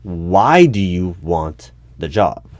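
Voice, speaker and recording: Man acting as hiring manager, low-pitched, exasperated, formal wording, stop-start argumentative delivery, audio roleplay. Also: sing-song, slow, dry recording, full character voice. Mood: impatient. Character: exasperated male human-resources hiring manager interviewing a robot. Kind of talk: one-sided audio roleplay.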